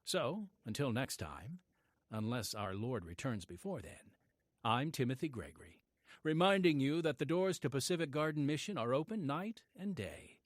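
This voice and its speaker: A man reading a scripted radio announcement as program host, with an earnest, measured delivery. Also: medium pitch, sing-song, no echo, studio quality. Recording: music bed behind the voice. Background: music.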